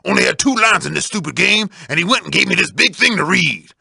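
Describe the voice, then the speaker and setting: Gruff cartoon game tough guy, raspy and loud, pitch jumping all over. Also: lively intonation, fast, dry recording, clean studio sound, full character voice. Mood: grumbling, boisterous. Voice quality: very gravelly, raspy.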